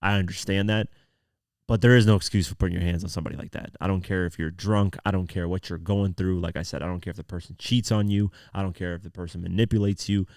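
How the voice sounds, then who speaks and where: A man with a very low-pitched voice hosts a podcast, talking through the news in an informal way. His throat is sore, so the voice is not at full strength. The sound is close and dry, with very little room in it. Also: fairly even intonation, fast, a little mellow, no room sound. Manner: informal, conversational, reads articles aloud then comments. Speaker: podcast host. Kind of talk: casual news commentary podcast.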